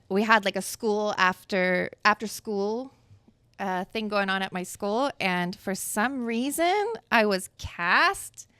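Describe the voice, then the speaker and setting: A woman's voice: medium pitch, sharp tone, smooth, no room sound. Candid chatty woman in her thirties. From Florida, USA. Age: 30s. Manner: candid, chatty, laughs easily. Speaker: guest dancer. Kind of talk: live podcast interview. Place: live podcast event in Miami. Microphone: live event microphone.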